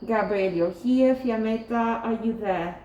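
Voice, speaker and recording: A woman teacher speaks slowly in a slightly high voice, methodically checking who is present. Her voice carries a roomy echo and a somewhat muffled tone. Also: mellow. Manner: methodical, checking names one by one. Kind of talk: classroom roll call. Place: classroom.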